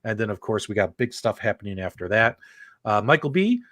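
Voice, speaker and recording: A man's voice: fairly low pitch, sing-song, fairly quick, a bit bright, no echo. A man hosting a casual livestream talk show about games, speaking enthusiastically and repeating phrases for emphasis. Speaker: host of a video game talk show.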